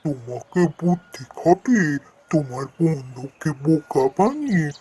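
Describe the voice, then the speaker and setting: A man's voice: on the high side, sing-song, measured pace, mellow. A man voicing a friendly talking tree in a cartoon fairy tale, solemn and earnest in giving counsel. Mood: apologetic, advising.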